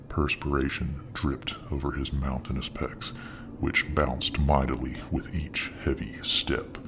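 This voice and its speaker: Male ASMR storyteller, extremely low voice, hushed whispery delivery, faint hiss. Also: vocal fry. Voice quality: very deep voice. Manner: slow, soft, partly whispered delivery. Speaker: narrator.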